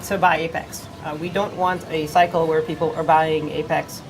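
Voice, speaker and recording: A woman's voice: deep voice, flat delivery, moderate pace, background noise. A woman with a cold, explaining game features casually on a livestream with many fillers. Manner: plain, informative, with fillers. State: has a cold. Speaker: community manager on a game stream.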